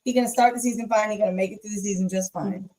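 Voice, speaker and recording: A woman co-hosting a casual sports chat who talks in a relaxed way and repeats her point for emphasis. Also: medium pitch, rapid-fire, poor audio. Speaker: podcast co-host.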